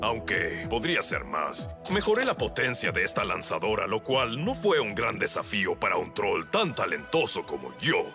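A man's voice: fairly low pitch, huge pitch swings, moderate pace, hardly any pauses, over music. A man voicing a big, proud but cautious troll mechanic in a cartoon dub. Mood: explaining.

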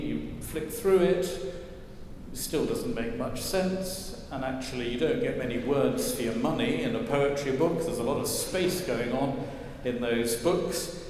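A man's voice: medium pitch, a little hiss or hum. Older man preaching in an echoing church. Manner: wry, gently humorous storytelling. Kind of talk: sermon. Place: large stone church, at a lectern. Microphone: lectern mic, far camera. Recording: echoey church with long reverb.

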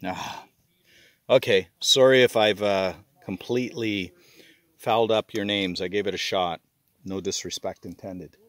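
A man's voice: fairly low pitch, steady tone, unhurried, no echo. A middle-aged man filming his own hike outdoors, narrating casually and gushing about the scenery, with frequent laughs. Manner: enthusiastic, exclaims about the scenery, laughs often. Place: outdoors on a wooded island. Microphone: handheld camera.

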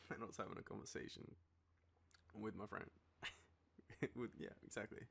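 Deep gravelly Australian boyfriend, acted by a man. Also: full character voice. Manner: hesitant, soft chuckles, halting pauses. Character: affectionate, teasing, nostalgic young boyfriend on a date. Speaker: audio roleplay performer. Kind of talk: intimate boyfriend roleplay, spoken to the listener.

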